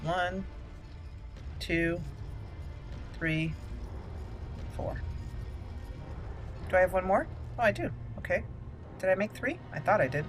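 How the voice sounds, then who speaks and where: A woman's voice: fairly low pitch, slow pace, long pauses, music in the background. A woman giving casual gameplay commentary, rambling and thinking aloud as she plays. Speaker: gameplay host.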